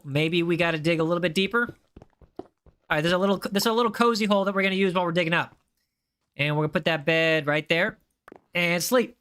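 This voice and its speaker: A man's voice: high-pitched, sharp tone. A young man live-streaming a game, chatting casually with his viewers and often repeating himself. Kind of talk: live gaming stream chat.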